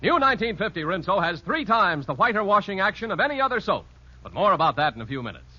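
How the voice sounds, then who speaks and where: A man's voice: high voice, sing-song, fast talker, no room sound. A man announcing a sponsored radio show around 1950 in an emphatic, salesmanlike way. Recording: muffled old radio with loud music.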